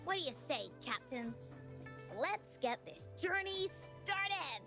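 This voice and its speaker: A woman's voice: extremely high pitch, lively intonation, slow, pushed and bright, heavily acted. A woman voicing a cheerful, bold little girl adventurer with an eager, confident, childlike manner in a video game. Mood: eager, rallying. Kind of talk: English video game voice acting. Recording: game audio with background music. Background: game music.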